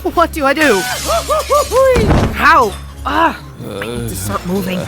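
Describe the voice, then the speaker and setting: A woman's voice: a little high, animated, takes it slowly, gravelly, music in the background, full character voice. A woman playing a timid, anxious young orc in a fantasy audio drama, worried and muttering. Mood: flustered.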